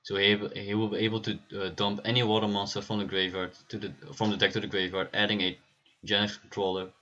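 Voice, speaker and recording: Bright-voiced man casting a card game. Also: lowish voice, dull audio. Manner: relaxed, laughs easily, frequent "uh" pauses. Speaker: stream host and caster. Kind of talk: esports game commentary.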